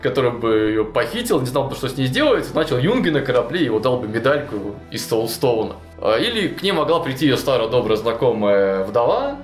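Young man, storyteller, lively talk, roomy studio, faint background music. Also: mid-range voice, fairly quick, few pauses. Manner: animated, many fillers like "вот" and "ну". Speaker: storyteller guest. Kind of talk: casual storytelling chat. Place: studio with chalkboard wall.

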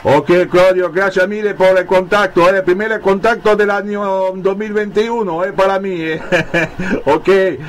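High-voiced man on CB radio. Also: steady tone. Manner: halting Italian mixed with Spanish words. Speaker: amateur CB radio operator. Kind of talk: radio contact exchange, improvised. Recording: radio hiss, faint background noise.

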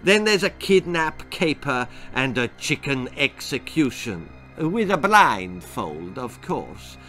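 A man's voice: mid-range voice, big pitch swings, takes it slowly, pushed and bright, faint music behind, exaggerated voice. A man voicing a shady, genial Italian mafioso pizza man with a sing-song Italian accent, in a children's story. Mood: genial salesman.

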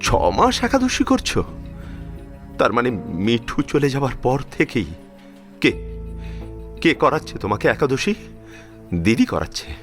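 A man's voice: medium pitch, wildly swooping pitch, measured pace, pauses often, a bit bright, soft background music. An actor playing a protective, grieving older father-in-law in a Bengali audio drama, speaking emphatically and emotionally with a Bengali accent. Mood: indignant. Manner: emphatic, emotional, repeats phrases.

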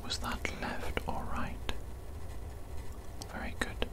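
Guy whispering asmr doctor exam, breathy n soft, right up on the mic, repeats himself. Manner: soft, deliberate, repeats phrases. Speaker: ASMR performer playing a doctor. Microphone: close mic for ASMR.

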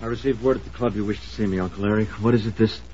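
A man's voice: on the low side, fairly even intonation, rapid-fire, mellow, slight background noise, natural voice. An actor playing an earnest, stubborn young man in his twenties, formal and polite, in 1950s radio drama style. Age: 20s. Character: earnest, defiant wealthy young nephew, an archaeologist. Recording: muffled old radio recording.

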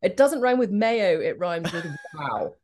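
A woman's voice: on the low side, animated, a little mellow. A middle-aged woman with a British accent, talking frankly and emphatically as a guest in an interview. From Australia. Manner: emphatic, frank, quick to laugh. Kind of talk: candid conversational interview.

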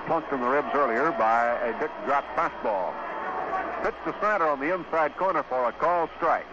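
An American man calling a baseball game play by play in 1950s radio commentary style. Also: medium pitch. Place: ballpark broadcast. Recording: dull, noisy vintage radio broadcast.

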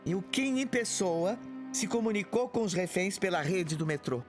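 An actor playing a grave, authoritative space squadron commander in an audio drama. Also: male voice, sits high, animated, moderate pace, over music. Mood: grave, commanding.

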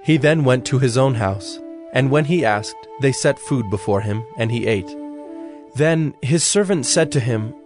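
Formal guy narrating the bible, medium pitch, measured, faint music behind. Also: animated. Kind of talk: scripted scripture narration.

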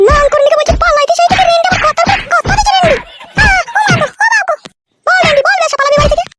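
A woman playing a jealous, quarrelsome village wife in a comic cartoon, shrill and foul-mouthed, with a pitched-up voice. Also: racing, fairly bright tone, soft background music. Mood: furious. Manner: shrill, scolding, repetitive insults.

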